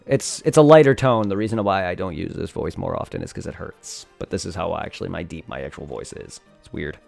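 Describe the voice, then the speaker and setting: A man's voice: deep voice, quick pace, vocal fry, darkish tone, soft background music. A young man chatting casually on a live game stream, rambling and thanking his viewers. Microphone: desk condenser microphone.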